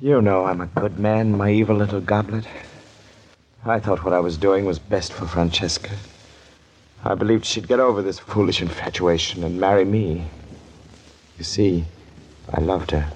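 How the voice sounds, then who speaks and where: A man's voice: sits low, fairly even intonation, moderate pace, long pauses, a little mellow, rough recording. An actor playing a bitter, jealous rich man, theatrical and intense, in 1940s radio drama style. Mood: regretful, brooding. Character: bitter, jealous wealthy villain obsessed with a woman.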